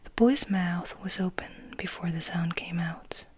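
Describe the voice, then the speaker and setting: A woman reading a story aloud in a gentle, hushed, breathy ASMR whisper. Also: lowish voice, a little slow.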